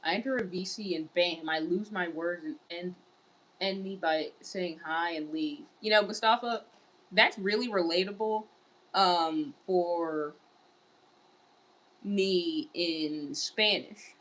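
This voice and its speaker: Woman, livestreamer, casual chat, lowish pitch, slow relaxed pace. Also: low-quality recording. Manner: chatty, reads viewer messages aloud and answers them; wearing large headphones.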